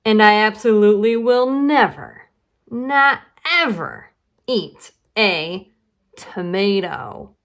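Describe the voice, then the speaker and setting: Woman reader playing small, picky little sister in a children's storybook. Slow, emphatic, drawn-out words. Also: medium pitch, expressive, fairly bright tone. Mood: stubborn refusal. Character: small, funny, very fussy little girl.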